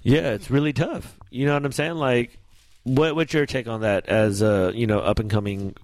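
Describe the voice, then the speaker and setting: A man hosting a casual chat, animated and slangy, asking long rambling questions. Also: mid-range voice, normal speed. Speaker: podcast host.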